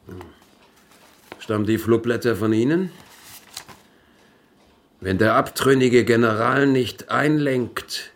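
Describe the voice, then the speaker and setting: Slow, gravelly corrupt official. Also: male voice, medium pitch. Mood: interrogating. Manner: musing, slow, wheedling. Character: corrupt, rambling, self-pitying official angling for bribes. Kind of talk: German radio drama acting.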